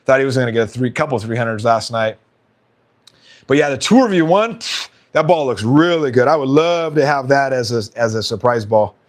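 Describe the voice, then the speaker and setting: A man hosting a casual live stream, chatting and reading out viewer comments with frequent laughs, his voice slightly hoarse. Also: medium pitch, normal speed.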